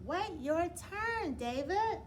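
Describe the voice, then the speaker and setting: A woman's voice: very high-pitched, expressive, takes it slowly, pitched above the performer's own voice, heavily acted. A woman voicing a stern schoolteacher who scolds a pupil with firm, emphatic commands. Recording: clear background noise.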